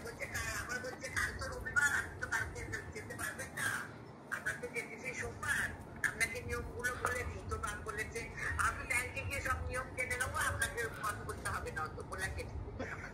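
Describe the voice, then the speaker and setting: A middle-aged woman, retelling things casually on a distant, echoey, very noisy recording. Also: a little high. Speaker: mother, prank victim. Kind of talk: animated retelling in casual chat.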